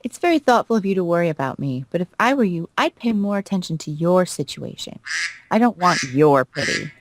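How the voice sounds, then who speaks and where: A woman's voice: on the low side, big pitch swings, a little fast, background noise. A woman voicing a cold, haughty elder stepsister in a visual novel, condescending and disdainful. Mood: cold, defensive. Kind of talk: acted reading of visual novel dialogue.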